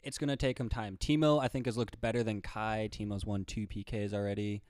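A man's voice: on the low side, level tone, brisk, darkish tone, dry recording, clean studio sound. A man co-hosting a casual sports podcast, explaining his views confidently with fillers like "right" and "like". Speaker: podcast co-host.

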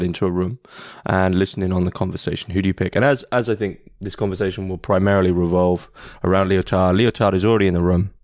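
A man hosting a podcast interview in a casual way, often pausing with fillers and restarting his sentences. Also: low-pitched, a little mellow, no echo, clean studio sound. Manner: hesitant, many fillers and restarts. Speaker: podcast host.

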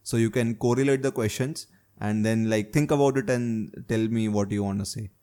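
A man's voice: on the low side, steady tone, moderate pace, dark tone. Young man probing with questions. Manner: probing, keeps adding "right?" checks. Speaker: host asking questions. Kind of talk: casual discussion.

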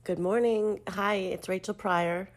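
A woman's voice: medium pitch, fairly even intonation, unhurried. A woman in her thirties with a cold and a stuffy nose, chatting casually in a voice memo, self-deprecating with many ums. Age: 30s. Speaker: contributor sending a voice memo.

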